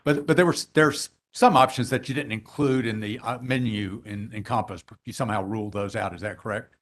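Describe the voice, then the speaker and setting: A man cross-examining witnesses at a regulatory hearing, who says his voice is loud and fills his questions with hesitations. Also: fairly low pitch, brisk. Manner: hesitant, many fillers, loud voice. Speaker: intervener attorney cross-examining.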